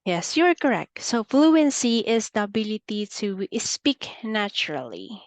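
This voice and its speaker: A woman's voice: medium pitch, sing-song, unhurried, no room sound. A woman with a Filipino accent tutors English in a one-on-one video call, explaining patiently and leaning on "okay" as she goes. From Philippines. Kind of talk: online one-on-one speaking lesson.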